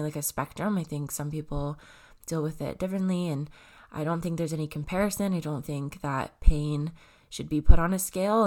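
A woman in her twenties with a stuffy, congested nose, talking casually and rambling with lots of fillers and self-corrections. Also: low-pitched. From Canada. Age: 20s. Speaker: vlogger. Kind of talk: casual personal talk to camera.

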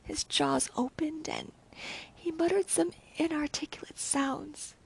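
A woman reading a gothic novel aloud as a dramatic narrator, with an intense, theatrical and often hushed, breathy delivery. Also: unhurried, in a whisper, rough recording. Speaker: audiobook reader. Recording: rumbly background noise.

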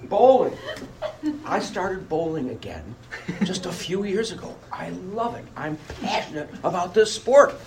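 An older American man giving an emphatic public speech with personal anecdotes and dramatic pauses. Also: high-pitched, very animated, creaky. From Buffalo, United States. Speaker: club speaker. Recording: noisy live room recording.

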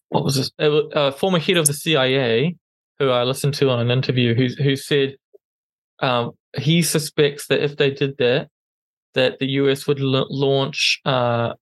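A man's voice: medium pitch, no echo. Hesitant man, dry close sound. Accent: non-native English accent. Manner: hesitant, many ums and restarts. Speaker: podcast co-host. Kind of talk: casual news chat.

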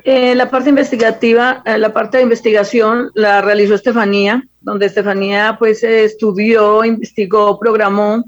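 A woman's voice: mid-range voice, level tone, moderate pace, few pauses, no echo. A Colombian woman, a fashion designer, giving spontaneous answers as an interview guest over a dull, lo-fi connection.

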